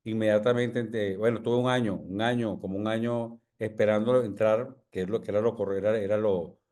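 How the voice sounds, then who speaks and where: Older Venezuelan physician guest, deep voice, measured and humble, halting with fillers. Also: male voice, steady tone, darkish tone. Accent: Venezuelan Spanish. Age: 60s. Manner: measured, humble, many fillers and tag questions. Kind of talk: reflective interview answers.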